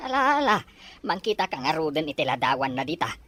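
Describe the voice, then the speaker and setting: A woman playing an elderly rural husband, husky and reassuring, in an audio drama. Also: low voice, sing-song, fast, a bit bright, exaggerated voice.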